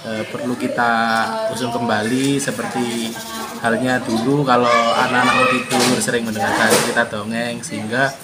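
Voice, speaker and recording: A man answering in an interview, hesitant and pausing between phrases. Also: mid-range voice, level tone. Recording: very loud crowd behind.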